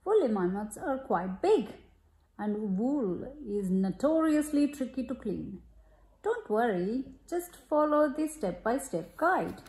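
A woman with a high-pitched voice reads a picture book aloud to a child. She takes it slowly and expressively, checking in with questions. The room around her is echoey. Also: big pitch swings, a little mellow. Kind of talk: storybook read-aloud with chat to a child. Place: room at home, at a glass table.

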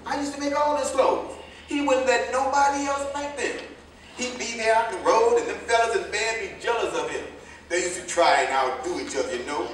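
A man's voice: high-pitched, fairly bright tone. A man in a staged play reading playing an older American tailor turned driver, a proud, hard-drinking, folksy storyteller who rambles. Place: indoor theater stage, recorded from afar. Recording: echoey stage, distant mic, faint noise.

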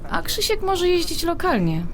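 A woman's voice: very high-pitched, sing-song, moderate pace, full character voice. An actress playing a chatty, earnest woman friend who pours out her worries at length. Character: chatty, wistful middle-aged woman friend. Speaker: visiting friend. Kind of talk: audio drama acting.

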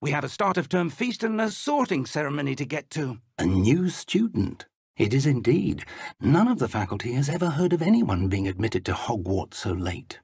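Husky old British wizard professor. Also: male voice, mid-range voice, sing-song, a little mellow, no room sound, studio quality, heavily acted. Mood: measured, serious, then quiet, grave. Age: older. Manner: measured, formal, thoughtful. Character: elderly scholarly wizard professor, earnest, encouraging mentor. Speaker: professor and mentor. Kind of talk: video game character dialogue.

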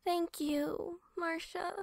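A woman's voice: extremely high pitch, little pitch movement, very slow, a little mellow, no room sound, clean studio sound, exaggerated voice. A woman playing a meek, easily flustered young office worker in an audio drama, stammering and apologetic. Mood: shy, hesitant.

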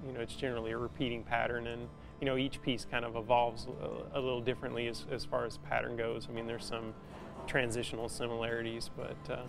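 Gravelly, even-toned man in thoughtful interview. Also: medium pitch. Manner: halting, thoughtful, filler-heavy casual speech with many "you know"s. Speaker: metal sculptor being interviewed. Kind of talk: reflective documentary interview. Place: large indoor metal workshop. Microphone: lapel mic. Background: instrumental music.

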